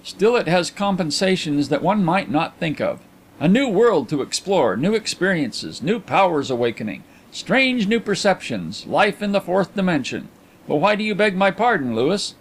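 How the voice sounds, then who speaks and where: A man voicing a placid, self-assured, good-humoured gentleman in an audiobook, with a suave and courteous manner. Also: high-pitched, sing-song, normal speed, a little hiss or hum, pitched above the performer's own voice. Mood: suave, thoughtful. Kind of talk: audiobook character acting.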